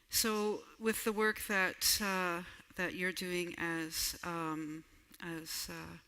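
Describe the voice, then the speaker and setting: A woman from Canada, a native Ojibwe speaker, giving a ceremonial closing address in a halting, unhurried manner. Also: fairly low pitch, pushed and bright. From Manitoulin Island, Canada. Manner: halting, unhurried, frequent throat clearing. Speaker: school worker offering traditional closing. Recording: echoey hall with long reverb.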